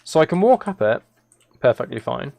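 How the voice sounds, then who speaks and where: Male game streamer, gravelly creak, mid-pitched, casual and thinking aloud. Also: big pitch swings, normal speed, a little mellow. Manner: thinking aloud, self-correcting. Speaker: live streamer. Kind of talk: casual gaming livestream commentary, chatting with viewers.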